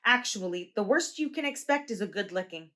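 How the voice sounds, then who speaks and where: A calm, helpful young boy in a picture book who explains things patiently and reassures his friends. He talks gently and steadily, read aloud by a woman. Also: medium pitch, a little fast. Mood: reassuring. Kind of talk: children's storybook read-aloud.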